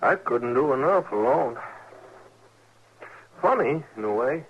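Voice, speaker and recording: A haunted rural American frontier fellow, mid-pitched but swinging animatedly up and down. He rambles haltingly and repeats himself in old radio drama style, on a muffled vintage recording. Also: expressive, husky. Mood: subdued, uneasy. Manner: halting, repetitive, folksy. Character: shaken, earnest frontier man, haunted and rambling.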